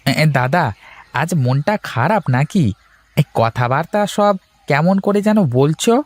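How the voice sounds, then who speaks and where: A man's voice: a little high, expressive, a little slow, dry recording. A man voicing a deferential, sympathetic cartoon tea-stall keeper who hesitates as he begins his phrases. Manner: hesitant, starts phrases with a drawn filler. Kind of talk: acted cartoon dialogue.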